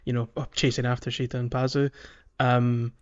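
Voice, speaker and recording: A man's voice: mid-range voice, flat delivery, moderate pace. A man co-hosting a podcast, talking casually about films and searching for his words as he goes. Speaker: podcast co-host. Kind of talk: casual film discussion. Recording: slightly dull, muffled audio.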